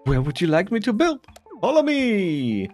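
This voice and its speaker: A man's voice: high-pitched, wildly swooping pitch, unhurried, darkish tone, faint music behind, pitched above the performer's own voice, exaggerated voice. A man voicing a courteous, businesslike female real estate agent in a video game. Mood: polite, helpful. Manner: courteous, formal, eager to serve. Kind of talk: voiced video game dialogue.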